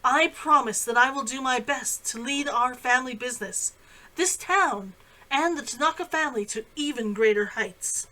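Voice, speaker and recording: A woman voicing a proud, composed young heiress in a storybook, speaking clearly and formally as if making a speech. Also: high voice, moderate pace, bright, forceful tone, poor audio. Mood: formal, assured.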